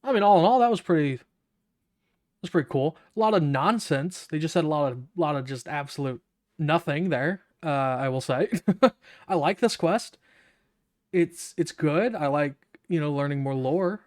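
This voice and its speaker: Higher-pitched young man, casual gaming commentary. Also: normal speed, dry recording, studio quality. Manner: irreverent, offhand, slangy. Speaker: gaming streamer. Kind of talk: casual, sweary let's-play commentary.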